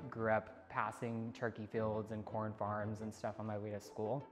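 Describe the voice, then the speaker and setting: A man's voice: fairly low pitch, completely flat delivery, normal speed, big-room sound, over music. A young male college student from rural North Carolina giving a casual interview testimonial. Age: young adult.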